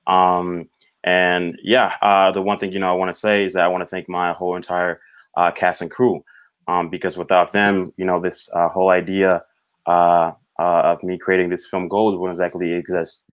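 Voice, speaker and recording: Deep-voiced man, halting, dull audio. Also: level tone. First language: Swahili. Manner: halting, repeats "you know". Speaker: film director being interviewed. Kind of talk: casual Q&A answers.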